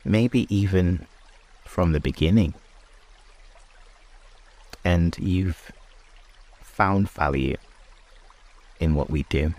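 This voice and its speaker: Male meditation host, exceptionally deep voice, very slow and unhurried delivery, hushed phrasing with long pauses. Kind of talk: slow guided sleep meditation and prayer.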